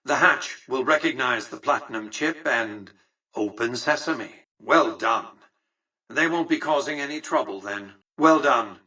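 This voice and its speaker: An imperious elderly tycoon from a video game. His voice is crisp-edged and bright with some huskiness, pompous and exact in its phrasing. He sounds calculating and superior, recorded a little way from the microphone. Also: mid-range voice, measured pace, far from the mic, low-quality recording. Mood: cool, commanding. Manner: formal, pompous, precise, patronizing. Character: imperious, calculating elderly male tycoon, coolly visionary mastermind. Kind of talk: scripted video game character dialogue.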